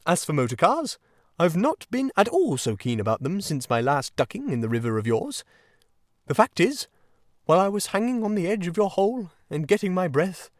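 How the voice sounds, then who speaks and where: A man voicing a boastful, excitable toad gentleman from a storybook, in a gushing, plummy, melodramatic manner. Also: sits high, dry recording, clean studio sound, voice pushed up. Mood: gushing, contrite. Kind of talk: audiobook character acting.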